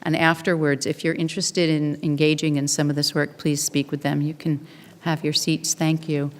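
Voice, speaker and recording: A woman's voice: low voice, little pitch movement, normal speed. An older woman hosting an event, giving announcements to an audience as a congregation leader. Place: synagogue sanctuary, microphone on stand at podium. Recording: reverberant hall, podium microphone.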